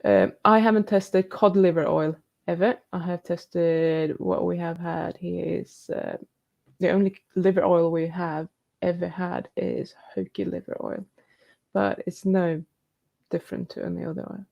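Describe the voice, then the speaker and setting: Low-toned, gravelly woman hosting a live Q&A. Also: fairly even intonation, measured pace, darkish tone, lo-fi sound. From New Zealand. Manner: reads viewer comments aloud and answers them, chatty with laughs. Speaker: live stream host answering viewer questions. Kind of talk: casual live Q&A chat.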